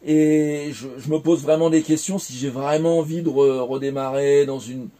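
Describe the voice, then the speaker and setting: A man's voice: on the high side, steady tone, normal speed, a crowd in the background. A French man in his forties, recounting his career in a casual interview, hesitant with many fillers and restarts. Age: 40s. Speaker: interviewee, former game developer.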